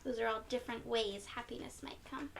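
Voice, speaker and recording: A woman reading a picture book aloud to young children in an encouraging, expressive way, often putting questions to her listeners. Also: a little high, fairly quick, vocal fry, slight background noise. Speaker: children's read-aloud storyteller.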